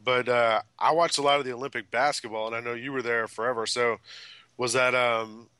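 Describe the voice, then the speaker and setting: A man co-hosts a casual sports podcast with a bright, forward voice. He asks easygoing, rambling questions, and the sound is dry and close with no room around it. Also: medium pitch, flat delivery, brisk, clear, resonant tone, no echo. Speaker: podcast co-host.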